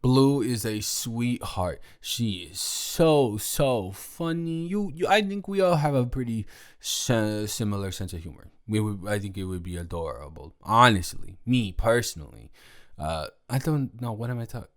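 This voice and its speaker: A man's voice: on the low side, animated, a little slow. Guy doing a tipsy college roommate, slurry n jokey, repeats himself, voice goes all over the place. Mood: chatty, rambling. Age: young adult. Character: drunk, playful, rambling college-age male roommate. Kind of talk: improvised intimate audio roleplay.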